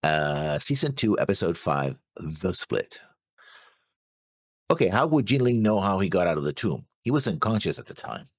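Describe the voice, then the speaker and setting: A man's voice: deep voice, very animated, normal speed, no echo, clean studio sound. A man co-hosting a casual discussion show, rambling through his notes and acting out imagined remarks. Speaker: reaction show co-host.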